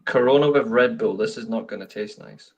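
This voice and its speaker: A man's voice: lowish voice, fairly quick, lo-fi sound. Guy joking around during a footy watchalong, sounds a bit far off the mic. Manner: banter, joking, swearing. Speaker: watchalong co-host. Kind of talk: casual football chat.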